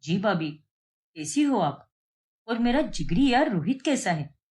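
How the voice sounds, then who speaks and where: A woman's voice: mid-range voice, very animated, measured pace, darkish tone. A woman voicing a friendly young man, a husband's close friend, in a cartoon story, polite and frank. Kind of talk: Hindi cartoon moral-story voice acting.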